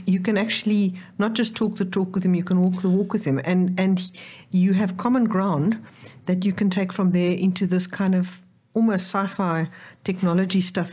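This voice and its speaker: A woman's voice: on the low side, warm tone, breathy edge. A woman hosting a podcast interview, drawing out her guest encouragingly and repeating words as she builds a point. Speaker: podcast host. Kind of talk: casual interview.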